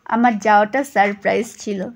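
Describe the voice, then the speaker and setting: A woman's voice: medium pitch, fairly even intonation, moderate pace. A woman reading a first-person story as scripted audio narration.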